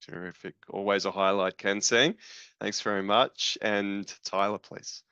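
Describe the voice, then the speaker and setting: A man hosting introductions on a video call, halting with many fillers, over dull lo-fi call audio. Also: fairly low pitch, steady tone, sharp tone, smooth, no room sound. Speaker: program leader and host.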